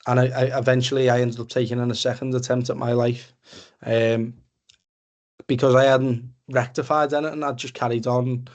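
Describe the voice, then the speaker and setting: A man's voice: mid-range voice, a little mellow, dull audio. Man, Liverpool Scouse accent, young adult, level intonation, conversational, plain-spoken, informal personal presentation. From Liverpool area, England. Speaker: men's mental health support group speaker. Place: online webinar video call.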